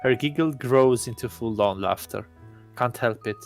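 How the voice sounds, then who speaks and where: Male let's play reader, medium-pitched, narrating a visual novel with light background music. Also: normal speed.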